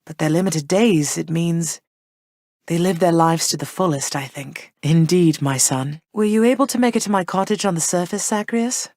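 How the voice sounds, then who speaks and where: A woman voicing a gentle, thoughtful goddess queen and mother for a video game, speaking in a soft, measured, slightly formal way. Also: lowish voice, no echo, studio quality, lightly acted. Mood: tender. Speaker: voice actress for a video game character. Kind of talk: recorded game voice lines.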